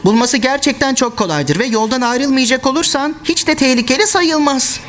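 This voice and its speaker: A young goatherd boy in a children's cartoon, boastful yet protective. The voice is high and boyish with expressive delivery, set against loud music. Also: brisk. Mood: evasive, instructing. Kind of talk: dubbed children's cartoon acting.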